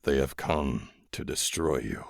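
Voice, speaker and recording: A man voicing a gentle, dying alien who speaks slowly and gravely. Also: a bass voice, dry recording, clean studio sound, pitched below the performer's own voice, exaggerated voice. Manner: slow, solemn, measured.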